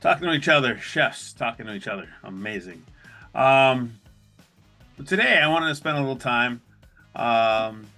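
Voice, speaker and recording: Male podcast host, middle-aged, crisp bright tone, conversational self-deprecating storyteller, guitar music underneath. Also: mid-range voice. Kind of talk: casual solo podcast storytelling.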